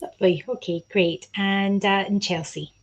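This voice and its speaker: A woman's voice: mid-range voice, steady tone, moderate pace, dull audio. A woman hosting a live online panel as program convener, chatty, with many fillers, speaking over a video call.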